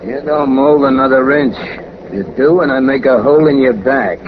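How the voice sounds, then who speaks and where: A man's voice: medium pitch, normal speed, close to an everyday voice. An actor playing a lonely, businesslike hired killer in 1940s radio crime drama, talking in casual, ungrammatical tough-guy fashion with matter-of-fact menace. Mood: curt, threatening. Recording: dull, noisy old 1940s radio recording.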